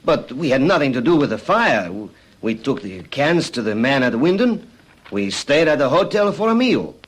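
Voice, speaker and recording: An actor playing a nervous foreign accomplice, terse and defensive, in an old-fashioned radio drama. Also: male voice, medium pitch, big pitch swings, normal speed, breathy edge, rough recording. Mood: uneasy. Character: nervous foreign male accomplice under questioning.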